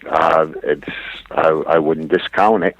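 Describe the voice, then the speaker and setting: A man's voice: extremely low pitch, steady tone, measured pace, no room sound. A man as an interview guest on a phone call, explaining his research in a casual, folksy way. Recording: thin, narrow phone-like line.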